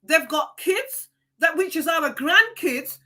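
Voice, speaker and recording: Bright, emphatic woman host. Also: extremely high pitch, expressive, slow pace. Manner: emphatic and preachy, repeats key phrases. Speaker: live show host. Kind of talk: live-stream motivational talk, reading viewer comments.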